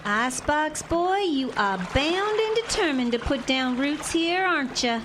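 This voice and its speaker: A woman acting in a radio drama as a blunt, folksy young bride from Texas, with a drawling, expressive delivery. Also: sits high, a little slow, background noise. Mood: teasing.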